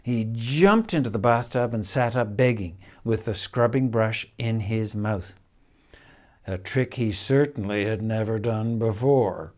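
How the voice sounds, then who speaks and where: An older man reads a children's picture book expressively, with measured phrasing and dramatic pauses. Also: lowish voice, darkish tone. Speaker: storyteller reading a picture book. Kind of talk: expressive children's storybook reading. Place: indoors, room in a house with stone wall.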